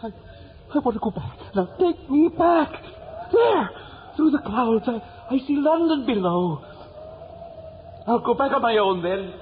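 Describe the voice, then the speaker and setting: A man playing a frightened, pompous bookish gentleman, fretful and pleading, in a 1950s radio drama. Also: very high-pitched, lively intonation, a little slow, pauses often. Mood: panicked pleading. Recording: dull, noisy vintage radio recording.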